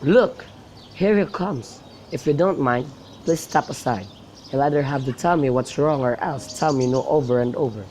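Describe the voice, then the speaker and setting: A sensible young man as acted by a student who looks out for his troubled cousin. His voice sits at a middle pitch, in amateur Shakespeare radio-play style. Faint noise and birds sit behind it. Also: normal speed, a little mellow, natural voice. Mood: concerned, curious. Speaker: student actor. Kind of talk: amateur radio drama acting, modern-English Shakespeare.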